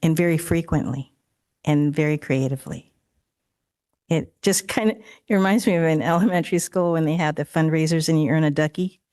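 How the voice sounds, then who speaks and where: A woman raised in Daly City whose first language was not English, speaking as a school board trustee at a meeting, with a halting delivery full of fillers and restarts. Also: deep voice, darkish tone. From Daly City, California. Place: district office board room.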